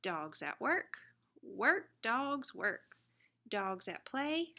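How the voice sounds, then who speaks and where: A woman reading a children's picture book aloud in an expressive, deliberate way. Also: on the high side, very noisy. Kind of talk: read-aloud children's storybook narration.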